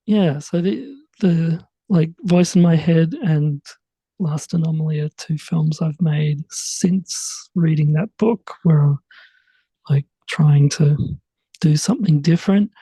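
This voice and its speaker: A man's voice: on the high side, slow pace, mellow, husky, no echo, poor audio. A man, a writer-director guest chatting casually in an interview, rambling and full of fillers. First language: English.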